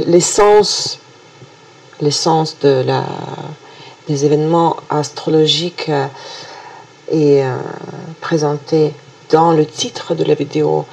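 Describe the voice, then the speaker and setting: A woman with a Russian accent, a native Russian speaker, presenting a weekly horoscope video in a halting way full of hesitations and restarts. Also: sits low, creaky, dark tone. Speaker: astrologer.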